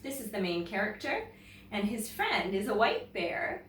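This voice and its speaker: A woman teacher with a Canadian accent tells a children's story in an unhurried, expressive way. Her intonation is lively. The room around her adds a noticeable echo. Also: medium pitch, recorded from a distance, slight background noise. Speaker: teacher reading stories to children. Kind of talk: children's storybook read-aloud with casual introduction. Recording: roomy echo, away from the mic.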